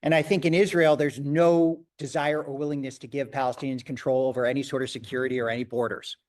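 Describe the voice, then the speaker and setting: A man's voice: medium pitch, flat delivery, quick pace. A man, a rabbi, answering audience questions in a lecture and thinking aloud as he goes. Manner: thoughtful, thinking aloud with restarts. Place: large echoey hall. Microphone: microphone failing, speaking loud from a distance. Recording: echoey hall, voice from far off.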